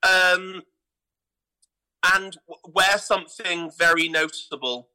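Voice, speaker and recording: Bright, higher-voiced British man chatting. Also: fairly even intonation, takes it slowly, clean tone, rough recording. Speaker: theatre artistic director, podcast guest. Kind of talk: casual interview chat.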